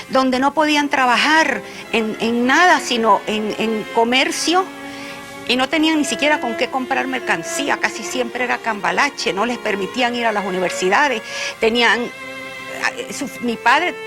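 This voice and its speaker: A woman interviewed for a documentary, speaking earnestly and listing one hardship after another. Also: fairly high pitch. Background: music.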